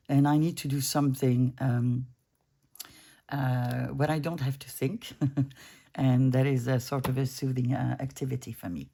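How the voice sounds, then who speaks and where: Gravelly-voiced woman in her fifties. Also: very deep voice, darkish tone. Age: 50s. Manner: rambling, self-interrupting, easygoing. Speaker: junk journaling crafter. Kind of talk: casual chat while crafting. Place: home craft desk.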